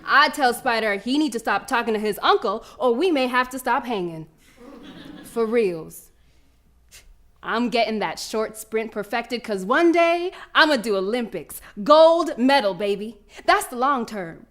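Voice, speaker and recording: A woman's voice: a little high, lively intonation, measured pace, fairly bright tone. A woman playing a fierce, cocky preteen American girl runner in a live theatrical monologue, telling her story in brash, slangy street talk. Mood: confident, determined. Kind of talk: first-person theatrical monologue performed live.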